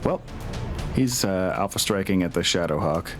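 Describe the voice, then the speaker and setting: A man's voice: low-pitched, lively intonation, normal speed. A man acting as game master of a tabletop wargame, talking casually and thinking aloud as he tallies rolls, with music behind him.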